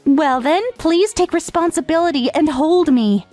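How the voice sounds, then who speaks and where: A woman's voice: high voice, normal speed, darkish tone, faint music behind, close to an everyday voice. A woman playing a lovestruck, brazenly submissive teenage step-sister in an anime-style romantic comedy dub. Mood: coy.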